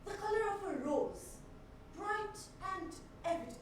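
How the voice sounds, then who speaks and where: A woman performing a theatrical monologue as a haunted, unhinged woman, speaking deliberately with dramatic pauses, in a theatre. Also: very high-pitched, animated. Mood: wistful. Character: haunted, unhinged woman recalling her mother's death. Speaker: solo stage actor. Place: indoor theatre stage. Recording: echoey theatre stage, distant mic, noisy.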